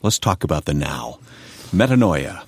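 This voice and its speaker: A man's voice: very deep voice, very animated, moderate pace, slightly rough, no room sound. A man hosting a radio interview, asking short, prompting questions.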